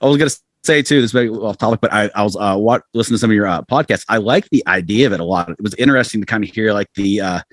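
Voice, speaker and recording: Low-voiced, quick-talking American man in his forties. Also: no room sound, clean studio sound. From southern United States. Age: 40s. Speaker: podcast guest, rock musician. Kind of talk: casual interview storytelling.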